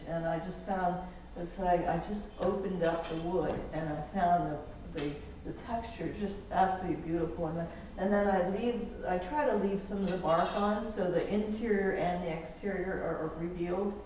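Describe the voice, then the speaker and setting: An older woman from Colombia talks through her artwork in a gallery, pausing and restarting her sentences. Her voice sits a little low and comes from some distance off the mic. The big hall gives a strong echo over a faint background hiss. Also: darkish tone. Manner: halting, with many restarts and fillers. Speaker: artist giving a gallery talk. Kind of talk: casual artist talk. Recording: echoey hall, speaker off mic, faint noise.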